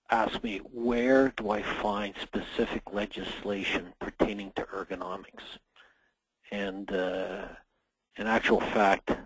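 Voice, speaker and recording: Hoarse man giving a safety webinar. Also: on the low side, no echo, low-quality recording. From Canada. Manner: measured, frequent "uh" pauses. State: hoarse from a cold. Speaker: association general manager presenting a webinar. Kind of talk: webinar presentation.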